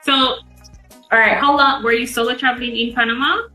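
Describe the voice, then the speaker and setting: A woman's voice: a little high, fairly even intonation, a little fast, sharp tone, soft background music, rough recording. A woman hosting a live podcast, upbeat, asking eager questions. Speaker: podcast host.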